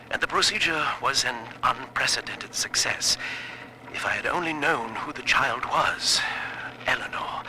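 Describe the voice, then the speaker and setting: A man's voice: mid-range voice, background noise, close to an everyday voice. A man playing a guilt-ridden scientist, speaking formally and articulately in a dramatic recorded diary monologue. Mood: remorseful.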